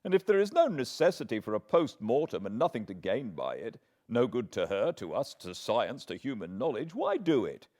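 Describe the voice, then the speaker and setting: A man's voice: mid-range voice, sing-song, moderate pace, hardly any pauses, darkish tone. A man voicing an earnest younger doctor in a gothic novel, grieving and indignant, articulate and urgent in his pleading. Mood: indignant protest.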